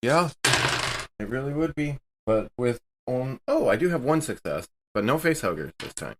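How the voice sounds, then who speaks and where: A man's voice: medium pitch, sing-song, moderate pace. A man chatting casually as a player at a tabletop role-playing game.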